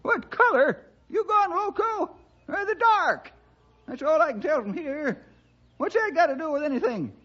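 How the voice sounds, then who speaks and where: Old homespun sidekick, high and excitable Western drawl, exclaiming, dull old radio recording. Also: male voice, normal speed, full character voice. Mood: exasperated. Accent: American West. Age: older. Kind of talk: 1960s radio drama acting.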